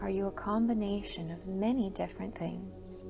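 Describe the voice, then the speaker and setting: A woman yoga instructor leading a guided sleep meditation, giving soft, soothing instructions with calm pauses. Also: medium pitch, creaky. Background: soft background music.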